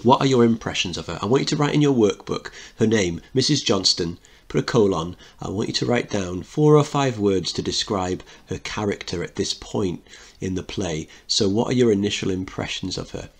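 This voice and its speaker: A man's voice: lowish voice, expressive, normal speed, husky. A man teaching a recorded literature lesson, explaining a play and posing questions to students. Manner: explanatory, checks understanding with questions.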